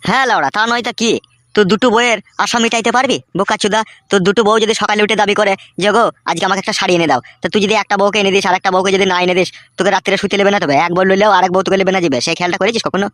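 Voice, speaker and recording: Man playing a high-voiced, blunt village buddy. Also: steady tone, racing, a bit bright, dry recording, studio quality. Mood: mocking, lecturing. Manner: lecturing, coarse, ranting. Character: blunt, mocking, foul-mouthed single village friend. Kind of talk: comedy cartoon dialogue.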